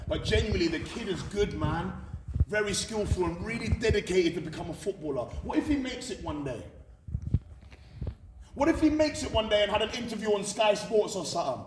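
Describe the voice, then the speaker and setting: A man's voice: on the high side, lively intonation, brisk. A British man giving a candid, emphatic motivational talk to students, repeating phrases for effect. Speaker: motivational speaker at a college. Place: indoor room at a college. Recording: echoey room from far off, faint noise.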